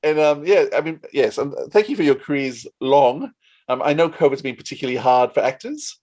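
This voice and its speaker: A man's voice: a little high, sing-song, brisk, darkish tone. Effusive Australian man hosting a casual chat. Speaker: podcast host.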